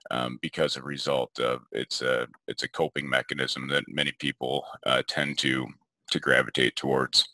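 A man with an extremely low, bright-edged voice presents an informal online seminar. He speaks haltingly as an educator explaining slides. The sound is dry and close. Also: dry recording. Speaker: behavior health educator presenting.

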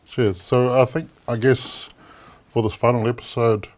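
A man, asking questions on a studio talk show in a relaxed, casual way. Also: medium pitch, unhurried. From New Zealand. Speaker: co-host interviewer. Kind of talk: studio interview chat. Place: small studio set.

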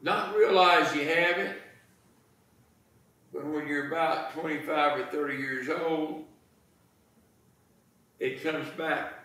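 An American man in his sixties preaching a sermon in a folksy, deliberate manner, pausing between phrases. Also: fairly high pitch, steady tone, pushed and bright. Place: church sanctuary, indoors. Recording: very echoey church, distant microphone.

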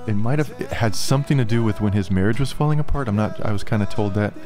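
A man in his thirties with a slightly hoarse, congested voice, chatting casually and rambling about his music from a small home studio, laughing often. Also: lowish voice, fast talker. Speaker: musician vlogger. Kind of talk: casual behind-the-scenes chat. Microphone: studio mic on a boom, wearing headphones.

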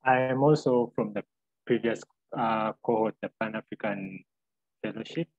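A young man from Uganda co-hosting an online session, introducing the next presenter on a laptop call from a small room at home, pausing often with fillers. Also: on the low side, no echo, narrow, tinny audio. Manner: hesitant, many filler pauses. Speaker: co-host. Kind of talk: introducing speakers on a video call. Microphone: laptop webcam on video call.

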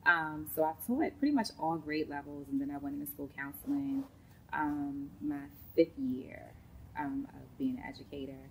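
A woman, a school counselor chatting as an interview guest, warm, with many ums. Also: low voice, normal speed, slight background noise, low-quality recording. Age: late 30s. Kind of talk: casual interview talk. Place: large indoor sports facility studio.

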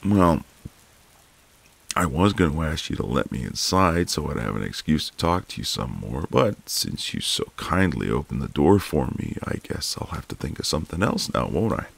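A man playing a flirtatious, easygoing retired marine neighbour in an intimate audio roleplay, with a deep, gravelly voice and a casual drawl. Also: very animated, moderate pace, full character voice. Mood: teasing, flirtatious.

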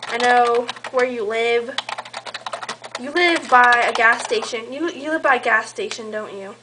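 High-voiced young woman teasing on a call. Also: unhurried. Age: young adult. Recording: noisy amateur home camera recording.

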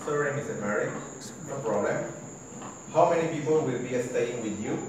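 A student playing a polite reservation agent. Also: male voice, mid-range voice, moderate pace, lightly acted. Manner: formal, scripted politeness. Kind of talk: classroom role-play phone call. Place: large classroom, indoors. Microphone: far camera. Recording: echoey classroom from far off, noisy.

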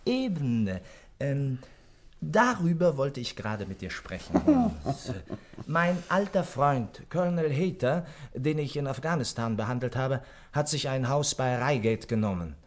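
An actor playing a caring, persuasive gentleman doctor in a German radio play, solicitous in manner. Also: mid-range voice, animated, a little slow, close to an everyday voice. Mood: coaxing. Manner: solicitous, coaxing, chuckling. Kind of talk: radio play acting and first-person narration.

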